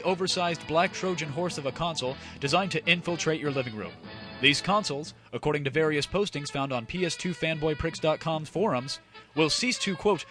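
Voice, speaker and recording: A man voicing a pompous news anchor, reading a mock bulletin in a formal, deadpan, emphatic way. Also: a little high. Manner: formal, deadpan, stiltedly emphatic newsreader delivery. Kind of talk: parody news broadcast narration. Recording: loud music bed behind voice.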